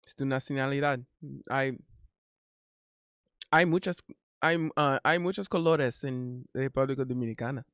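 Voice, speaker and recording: A Haitian man speaking Spanish as a learner, chatting casually on a stream, halting and correcting himself often. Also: medium pitch, fairly bright tone, clear, resonant tone, dry recording, studio quality. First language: not Spanish. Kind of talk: casual chat.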